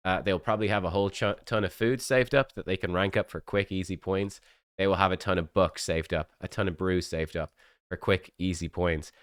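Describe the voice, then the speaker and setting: A man's voice: sits low, steady tone, fairly quick, clear, resonant tone, no echo, studio quality. A man with a cold, sniffly and coughing, chatting casually as a game content creator, with many fillers and restarts.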